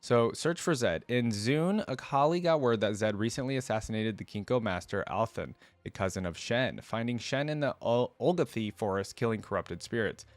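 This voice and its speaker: A man's voice: medium pitch, lively intonation, normal speed, hardly any pauses, no echo, soft background music. A man hosting a reaction video, talking informally and thinking aloud, sometimes reading aloud to his viewers.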